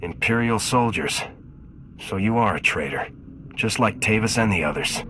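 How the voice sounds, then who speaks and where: A terse low trooper. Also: male voice, animated, moderate pace, a bit bright, a little hiss or hum. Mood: stern. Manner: terse, disciplined. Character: determined male Republic trooper, disciplined soldier. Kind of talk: video game cutscene acting.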